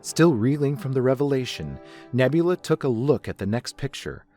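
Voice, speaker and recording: A man's voice: mid-range voice, expressive, moderate pace, mellow. A man narrating a scripted story as a reader, setting the scene between characters' dialogue. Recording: music under the voice.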